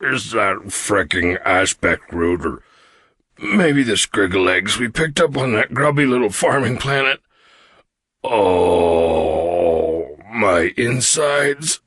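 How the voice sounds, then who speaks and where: A man's voice: lowish voice, animated, a bit bright, slightly rough, dry recording, heavily acted. A man performs a massive four-armed alien from a sci-fi audiobook, suffering with his gut. The words come out strained and drawn out between groans, in a very dry, close recording. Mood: pained, groaning. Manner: groaning, drawn-out, distressed delivery.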